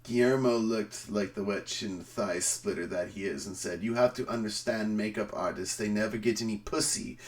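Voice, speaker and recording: A man giving a dramatic reading on a stream, theatrical in delivery, swinging between emphatic outbursts and hushed, drawn-out phrases. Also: on the low side, recorded from a distance. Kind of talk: dramatic reading of a written review.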